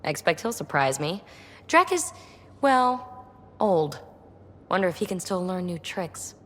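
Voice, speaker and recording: A woman playing a breezy, irreverent and curious young alien researcher in a video game, speaking in an animated way. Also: lowish voice, unhurried, in a large hall, heavily acted. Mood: playful, curious. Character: lively, cheeky, curious young female alien researcher and rogue explorer.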